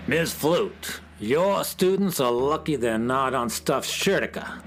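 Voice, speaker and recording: A stern, self-important inspector of the music police, performed by a man for a children's radio play. He pronounces rules severely and officiously, with a highly animated pitch. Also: slow pace, a little hiss or hum. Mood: stern, scornful. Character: pompous, stern male music-police inspector from another planet.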